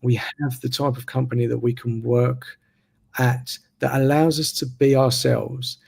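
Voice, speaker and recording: Man in his 30s, gravel in the voice, steady slow reflective talker, hosting an interview. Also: medium pitch. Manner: slow, even, reflective delivery. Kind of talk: casual interview conversation. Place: remote video call. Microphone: video call mic.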